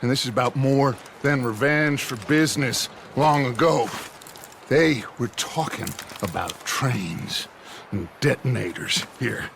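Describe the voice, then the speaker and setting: An actor playing a commanding middle-aged American outlaw gang leader in a video game, theatrical and oratorical, bent on vengeance. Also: male voice, mid-range voice, a little slow, vocal fry, fairly bright tone, a little hiss or hum. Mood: firm, persuading. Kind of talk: dramatic video game acting.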